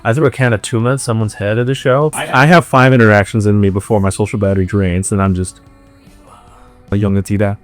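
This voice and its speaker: A man's voice: fairly low pitch, steady tone, quick pace, soft background music. A man, an indie band musician, chatting casually and jokingly in an interview. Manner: joking, banter with bandmate.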